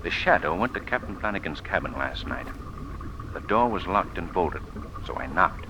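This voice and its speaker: Smart calm sleuth, old radio show, lively intonation, well spoken, noisy. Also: male voice, low voice, moderate pace. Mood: grave, deliberate. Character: calm, shrewd gentleman sleuth. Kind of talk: 1940s radio acting.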